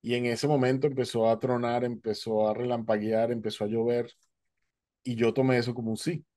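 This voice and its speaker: Man telling his story, dark mellow voice. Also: mid-range voice, flat delivery, a little fast, no room sound, studio quality. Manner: vivid storyteller, acting out dialogue. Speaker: guest speaker. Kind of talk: personal testimony. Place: home, indoors, virtual background. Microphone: headset.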